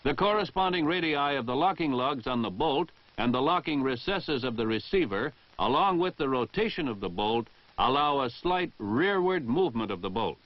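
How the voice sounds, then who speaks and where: A man's voice: medium pitch, expressive, dry recording. An American man reading a formal, precise instructional narration in the style of a 1960 military training film. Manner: formal, precise, measured enunciation. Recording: dull 1960 film soundtrack.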